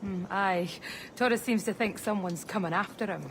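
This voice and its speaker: A woman's voice: medium pitch, normal speed, a little hiss or hum, close to an everyday voice. A woman playing a wry, friendly pirate's ally in a video game cutscene.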